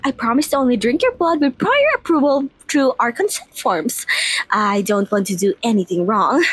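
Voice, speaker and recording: A woman's voice: a little high, breathy edge, no room sound, heavily acted, poor audio. A woman voicing a giddy, childlike, playful vampire mistress in an ASMR roleplay, gushing and girlish. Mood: earnest apology.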